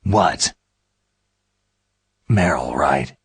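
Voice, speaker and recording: An actor playing a gruff, world-weary special-forces soldier in a video game, his voice raspy and gravelly. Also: a bass voice, lively intonation, drawn-out words, no echo, exaggerated voice. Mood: resolute. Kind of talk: dramatic video game voice acting.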